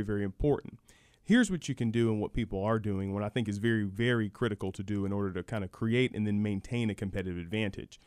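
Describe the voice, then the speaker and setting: A man's voice: fairly low pitch, darkish tone, clean tone, dry recording, studio quality. A man speaking as an expert guest in a sports talk interview, explaining things and repeating words for emphasis. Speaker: guest, university program director.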